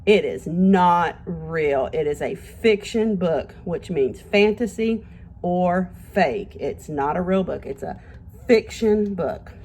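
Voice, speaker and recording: Slow, expressive woman teacher reading to children. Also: lowish voice, a little hiss or hum. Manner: expressive, simple wording, encouraging praise, addressing children directly. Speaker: teacher reading a picture book aloud to young children. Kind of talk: children's read-aloud lesson with questions and pauses.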